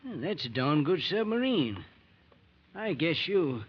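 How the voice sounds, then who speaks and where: A folksy country farmer with a highish, animated voice and hesitant, homespun phrasing. He is an anxious, plain-spoken father in an old-time radio drama, on a dull, muffled recording. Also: unhurried, slight background noise. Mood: folksy, fatherly.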